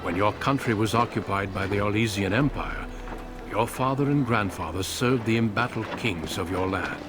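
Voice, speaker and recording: Man as solemn narrator, low, deliberate, clear music bed. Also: natural voice. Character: solemn male game narrator. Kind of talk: scripted narration.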